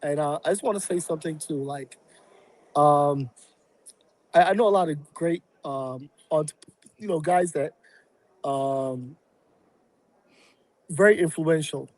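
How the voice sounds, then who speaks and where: A man from Barbados, a guest on a podcast, talking through his plans in a reflective, halting way with many fillers. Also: a little high. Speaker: real estate investor and guest. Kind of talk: casual podcast interview.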